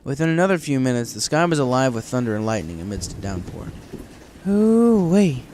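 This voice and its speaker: A man's voice: mid-range voice, sing-song, fast, slight background noise. A man reading scripted story narration as the narrator of a fan tale.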